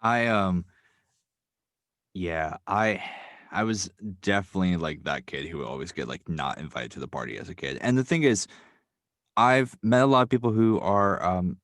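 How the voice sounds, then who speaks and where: Young male guest, very low register, halting reflective delivery, dry close mic. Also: fairly bright tone, dry recording. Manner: halting, thoughtful, many fillers and restarts. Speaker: podcast guest, writer and sound designer. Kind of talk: casual interview talk.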